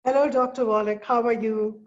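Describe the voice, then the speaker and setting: A middle-aged woman with a Pakistani accent and Urdu as her first language calls in with a question. Her voice sits a little high and comes through muffled, lo-fi call audio. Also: steady tone, fairly quick. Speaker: caller asking about family health. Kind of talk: conversational question. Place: live video call.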